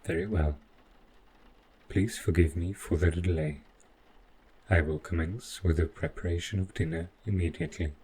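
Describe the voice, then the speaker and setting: Man playing a deep-voiced, formal robot butler. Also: steady tone, moderate pace, pauses often, mellow, a little hiss or hum, close to an everyday voice, poor audio. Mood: calm, attentive. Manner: formal, stiffly precise, deferential, avoids contractions. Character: polite, devoted male robot butler, calm and formal. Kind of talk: scripted roleplay audio drama.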